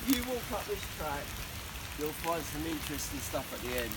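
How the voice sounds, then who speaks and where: A man with a slightly high voice chats in an easygoing, knowing way. He is outdoors in woodland. Steady rain is clearly audible around him. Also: a little fast. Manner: easygoing, knowing local talk. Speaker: local passer-by. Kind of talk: casual chat. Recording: rain noise.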